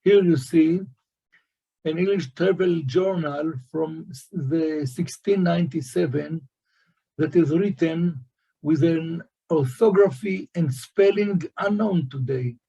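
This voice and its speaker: A man whose first language is Hebrew, discussing scholarship as a guest in a slow, halting way with many fillers. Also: fairly high pitch, gravelly, warm tone, breathy edge, dull audio. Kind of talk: academic discussion.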